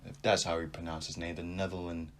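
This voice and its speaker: Young man, low voice, casual sports podcast host, rambling, animated asides. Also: fast. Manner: animated, rambling, thinks aloud with frequent asides. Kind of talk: casual sports talk monologue.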